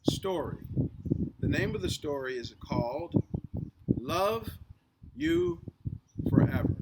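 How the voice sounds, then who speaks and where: A man hosting and telling a story in an earnest, deliberate way, with pauses and repeated phrases. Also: on the high side, creaky, very noisy. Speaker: host and storyteller. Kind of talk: earnest address and read-aloud storytelling. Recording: echoey, distant microphone.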